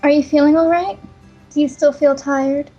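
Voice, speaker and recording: Princess champion female actor: frank, questioning, high mellow voice, dull recording with faint music. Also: fairly even intonation, normal speed, close to an everyday voice. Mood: concerned, wary. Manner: blunt, questioning. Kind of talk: fan dub of a fantasy game.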